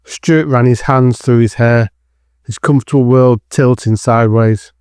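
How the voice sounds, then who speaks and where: A man's voice: medium pitch, fairly even intonation, moderate pace, a little mellow, clean tone, dry recording, clean studio sound. A man narrating a scripted dramatic thriller as the storyteller of an audio drama.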